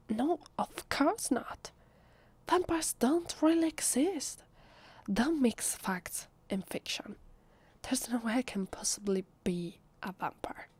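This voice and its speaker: Secretive vampire girl, acted by a woman for ASMR roleplay: Italian accent, slow, husky whispery delivery, flustered and fragile. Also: medium pitch. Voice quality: soft, breathy, often whispery. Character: secretive female vampire, nervous, fragile and defensive. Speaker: voice actress.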